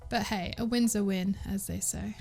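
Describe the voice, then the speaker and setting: A woman's voice: medium pitch, fairly even intonation, moderate pace, creaky, loud music behind the voice. A woman narrating a scripted gaming news recap as the host of an online show.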